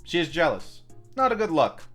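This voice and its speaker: A man's voice: on the high side, sing-song, normal speed, a bit bright, faint music behind. A man giving a scripted, comedic film recap as a reviewer, with a wry manner, speaking of himself in the third person.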